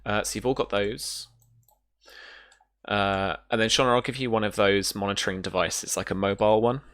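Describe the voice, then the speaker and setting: A man's voice: lowish voice, steady tone, moderate pace, a bit bright, dry recording. A man casually narrating and explaining rules as a game master, feeling his way through explanations piece by piece.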